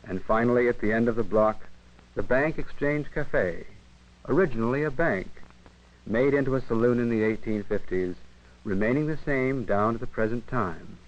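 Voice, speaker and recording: An American man narrating a 1950s educational film in a measured, formal, literary manner. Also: medium pitch. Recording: dull 1958 film soundtrack, radio-like.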